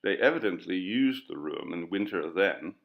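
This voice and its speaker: A man voicing a curious, theatrical gentleman visitor in an audiobook ghost story. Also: low voice, lively intonation, normal speed, gravelly, muffled recording. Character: Strammer, curious, brisk gentleman visitor probing a haunted house. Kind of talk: audiobook character dialogue.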